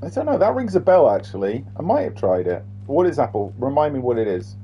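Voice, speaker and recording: A man's voice: medium pitch, big pitch swings, quick pace, warm tone, breathy edge. A man chatting and rambling casually while live-streaming a video game, with the odd swear word. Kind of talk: casual live-stream chat over gameplay.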